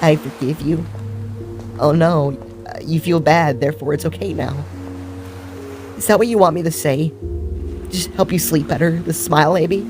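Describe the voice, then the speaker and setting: A man voicing a wounded grown daughter in a dramatic story, guarded and bitter, with a strained, halting delivery. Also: on the high side, fairly even intonation, over music, lightly acted. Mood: bitter anger. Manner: strained, halting, sarcastic when angered.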